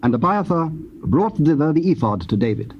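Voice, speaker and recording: A man narrating dramatized scripture in a measured, solemn manner. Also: fairly high pitch, very animated. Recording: dull, faintly noisy old cassette.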